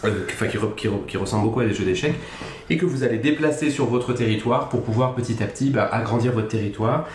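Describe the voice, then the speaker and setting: A man co-hosting a casual board game podcast, chatty and self-mocking, talking in quick bursts. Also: mid-range voice, a little hiss or hum. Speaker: podcast co-host. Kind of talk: casual chat about board games. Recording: echoey room.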